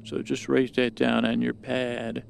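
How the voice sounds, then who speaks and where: Super creaky guy doing a rambly podcast monologue, keeps trailing off, loud hum and noise behind him. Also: mid-range voice, monotone. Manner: meandering, halting, repeats and trails off. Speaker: podcast host. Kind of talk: rambling improvised bedtime story monologue.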